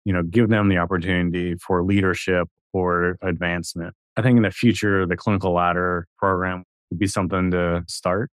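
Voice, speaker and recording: A man's voice: low-pitched, flat delivery, brisk, hardly any pauses, no echo. A man in his thirties, a guest radiologist assistant, making long earnest points in a podcast conversation. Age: 30s.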